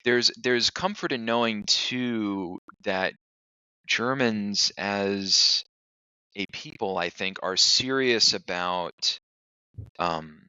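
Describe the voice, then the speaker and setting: Male podcast guest, low and very forceful tone, thoughtful casual delivery, dry close recording. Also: slow, clean tone, dry recording, highs cut off. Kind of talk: casual, reflective interview talk.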